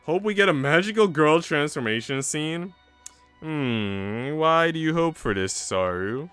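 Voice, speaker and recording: A man's voice: fairly high pitch, animated, measured pace, fairly bright tone, clean tone, faint music behind. A man streaming a visual novel, reading its narration aloud and adding casual joking asides.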